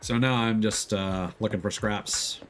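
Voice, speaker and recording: A man's voice: lowish voice, steady tone, unhurried, a bit bright. A man giving casual live commentary while playing a video game, musing aloud in a conversational way. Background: music behind the voice.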